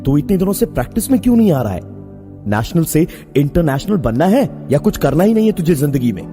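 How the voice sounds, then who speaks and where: Casting: male narrator playing exasperated young friend in horror audio story. High, swooping, agitated delivery with emphatic repeats, music underneath. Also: big pitch swings, brisk, warm tone. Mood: angry, incredulous.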